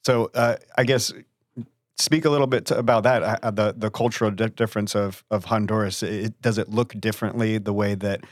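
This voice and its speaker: Man host, low voice, halting repeated words, dry sound. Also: fairly even intonation, no room sound. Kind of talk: interview questions.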